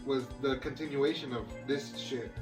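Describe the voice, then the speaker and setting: A man's voice: medium pitch, steady tone, normal speed. A man co-hosting a podcast, bantering casually and unscripted with slangy hype-man talk. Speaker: podcast co-host. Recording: far from the mic, music behind.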